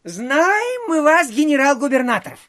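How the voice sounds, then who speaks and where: An actor playing a smug provincial postmaster who boasts of his long service in a drawn-out manner, in an audio drama. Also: extremely high pitch, very animated, fairly bright tone, full character voice. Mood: smug, boastful.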